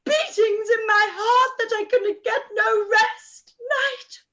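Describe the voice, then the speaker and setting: A woman's voice: very high voice, steady tone, slow, pushed and bright, full character voice. A woman playing a hysterical, self-pitying middle-aged mother in a period radio play, wailing and gasping melodramatically. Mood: frantic, wailing. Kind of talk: live-read radio play acting of period drama.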